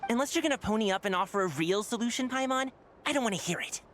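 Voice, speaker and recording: A woman's voice: fairly high pitch, animated, fast, slight background noise, lightly acted. A woman playing a brash, pushy, hot-tempered young male dancer in a video game, blunt and impatient. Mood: exasperated.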